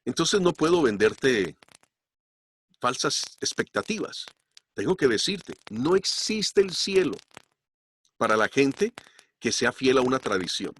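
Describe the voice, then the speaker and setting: A man preaching a sermon as pastor, slowing down and pushing up his voice to stress key points. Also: mid-range voice, big pitch swings, a bit bright, slight background noise. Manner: emphatic, slows down to stress points. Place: church.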